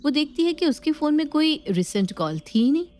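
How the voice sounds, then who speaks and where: A woman's voice: sits high, big pitch swings, a little fast, a little mellow. A woman giving scripted narration of a family story as its narrator.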